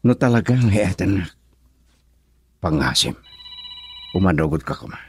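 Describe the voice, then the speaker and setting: A resolute young Filipino suitor in love in a radio drama. His voice is deep and husky, sober and sincere, with a very dry, close sound. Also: sing-song, moderate pace, a little mellow, no room sound, full character voice. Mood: serious.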